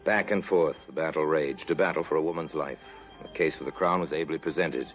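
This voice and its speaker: A man narrating a 1950s radio crime drama in a grave, measured voice. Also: rumbling low. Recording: dull old radio recording with music.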